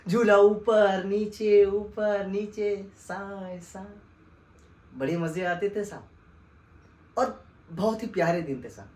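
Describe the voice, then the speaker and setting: A woman performing a dramatic monologue as a desperate orphaned son, pleading with a sahib, stammering and swinging between hushed and wailing delivery. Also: fairly low pitch, big pitch swings, moderate pace, long pauses. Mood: wistful. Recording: echoey room.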